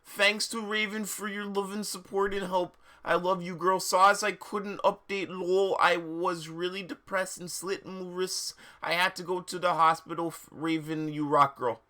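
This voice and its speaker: A man's voice: high voice, fairly even intonation, normal speed, hardly any pauses, bright, forceful tone. A man voicing a bratty, defensive teenage girl who writes fan fiction, whiny and gushing. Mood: gushing, dramatic.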